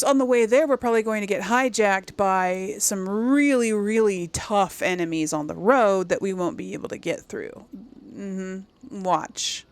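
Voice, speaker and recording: A woman giving casual let's play commentary, chatting to viewers and thinking aloud as she goes. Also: medium pitch. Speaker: gaming channel host.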